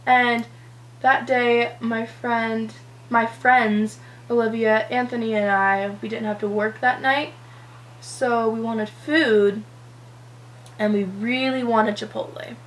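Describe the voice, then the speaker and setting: Slightly hoarse chatty teen girl. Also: a little high, steady tone, takes it slowly, a little hiss or hum, low-quality recording. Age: teenager, 17. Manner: rambling, lots of "um" and "like". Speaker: beauty vlogger. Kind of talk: chatty get-ready-with-me vlog.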